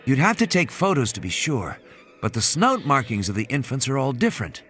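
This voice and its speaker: A man's voice: medium pitch, wildly swooping pitch, brisk, a bit bright, slight background noise. A man voicing an earnest, observant woman scientist, insistent and curious, in audiobook dialogue. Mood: insistent, intrigued.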